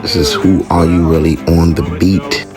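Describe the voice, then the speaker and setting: A man hosting a podcast, speaking in an earnest, informal and halting way as he reflects out loud. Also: low voice, little pitch movement, music in the background. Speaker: podcast host. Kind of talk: reflective spoken commentary and casual street interview.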